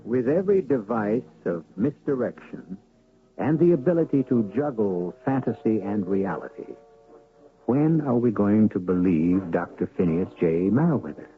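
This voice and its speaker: A man hosting an old radio mystery show, narrating in a measured, dramatic manner. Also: lowish voice, expressive. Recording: lo-fi phone-band old radio recording.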